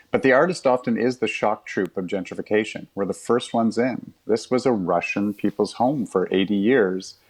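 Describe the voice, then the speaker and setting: Man, lowish pitch, interviewed director, explanatory, reflective, measured phrasing. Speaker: theatre director being interviewed.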